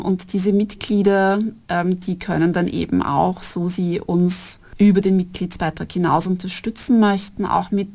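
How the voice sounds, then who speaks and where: A woman's voice: mid-range voice, darkish tone. Fluent, articulate middle-aged woman. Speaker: freelance artist and theater co-founder. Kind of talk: interview answer.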